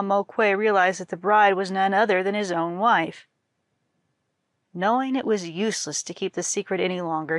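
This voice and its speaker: A woman storyteller reading a folktale aloud with expressive narration, as for a library audience. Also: medium pitch, dry recording.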